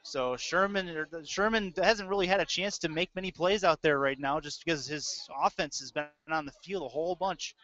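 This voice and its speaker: Man, bright higher-pitched voice, live football play-by-play, clipped calls, casual asides, dull lo-fi audio. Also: a little fast, hardly any pauses. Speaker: play-by-play sports commentator. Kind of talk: live American football commentary. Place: indoor audio-only webcast.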